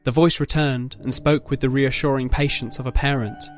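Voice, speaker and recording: A man narrates a scripted dramatic story in a measured, literary manner. His voice sits at a medium pitch, with soft music running behind it. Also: fairly even intonation.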